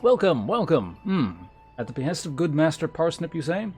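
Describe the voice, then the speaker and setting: A man's voice: on the high side, very animated, normal speed, a little mellow, husky, soft background music. A man voicing a servile game shopkeeper who is eager to please, obsequious, flowery and overly polite. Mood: fawning, eager. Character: fawning, servile tool supplier eager to please.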